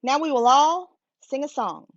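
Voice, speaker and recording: A woman's voice: high voice, animated, measured pace, dry recording, highs cut off, voice pushed up. A woman voicing a kindly but firm female schoolteacher in a children's storybook. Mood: brisk, firm.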